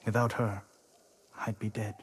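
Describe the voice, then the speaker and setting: A man playing an earnest, nervous young blacksmith in a video game, hesitant, gentle and deferential. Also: fairly low pitch, level tone, unhurried, slight background noise, natural voice. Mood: timid, vulnerable. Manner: hesitant, soft-spoken, deferential. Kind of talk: dramatic video game acting.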